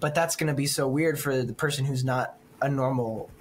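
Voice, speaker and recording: A man's voice: medium pitch, a little fast, a little hiss or hum. A man co-hosting a film podcast in casual chat, informal and opinionated as he thinks aloud.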